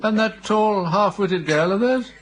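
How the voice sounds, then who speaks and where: Henpecked elderly English lord, fairly high voice, dithering and forgetful, for radio comedy. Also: male voice, a little slow, low-quality recording. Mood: indignant dismay. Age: older. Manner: dithering, bewildered, plaintive. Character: vague, henpecked elderly earl, forgetful and easily dismayed. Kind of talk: British radio comedy drama acting.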